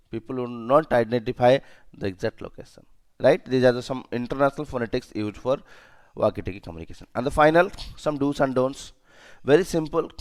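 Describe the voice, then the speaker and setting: A man's voice: lowish voice, darkish tone, clean tone. A man who is a non-native English speaker, teaching as a safety trainer in an emphatic, repetitive way with frequent check-in questions. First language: not English. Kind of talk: instructional lecture.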